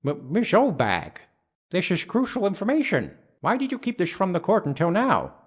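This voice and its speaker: A man's voice: high-pitched, wildly swooping pitch, normal speed, breathy edge, full character voice. A man playing a pompous, easily baffled old judge in a courtroom game, speaking formally and ponderously. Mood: surprised, stern. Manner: formal, ponderous, puzzled questioning. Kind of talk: dubbed courtroom game acting.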